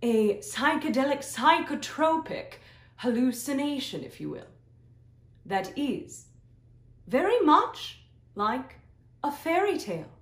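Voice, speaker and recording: A woman voicing a benevolent, serene extraterrestrial guide in a guided meditation, with deliberate, hypnotic, repetitive phrasing and long pauses. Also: sits high, big pitch swings, full character voice. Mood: mystical, measured. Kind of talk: slow channeled guided meditation. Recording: roomy echo, soft music behind.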